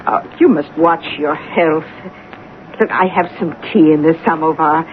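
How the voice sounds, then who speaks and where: A woman's voice: low voice, a little slow, slight background noise. A woman playing a nosy, motherly Russian landlady, brusque but kind, in a 1970s radio drama. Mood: solicitous. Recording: tinny 1970s radio recording, faint music.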